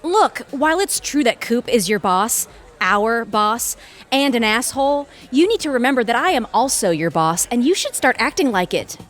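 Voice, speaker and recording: An actress playing an exacting, irritated senior lawyer in an audio drama, speaking in a cutting, sarcastic and articulate way. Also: female voice, on the high side, very animated, normal speed. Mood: sarcastic, stern. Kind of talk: audio theatre dialogue acting.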